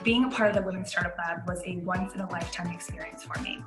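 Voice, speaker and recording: A woman talking quickly and with enthusiasm about her experience in a promo video. The recording is roomy, with music playing clearly behind her. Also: lowish voice, steady tone. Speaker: startup founder.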